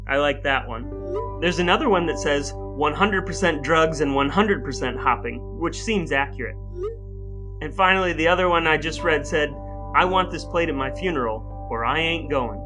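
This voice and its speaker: An American man co-hosting a podcast with wry, mock-formal, wordy phrasing, moving between scripted reading and chat. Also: a little high. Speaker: podcast co-host.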